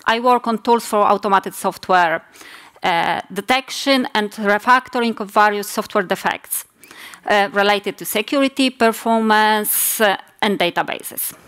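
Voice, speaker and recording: A woman in her forties with a Polish accent, a native Polish speaker giving a technical conference talk on a hall stage at a lectern mic, measured and often pausing to correct herself. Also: medium pitch, fairly bright tone. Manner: measured, with frequent hesitations and self-corrections.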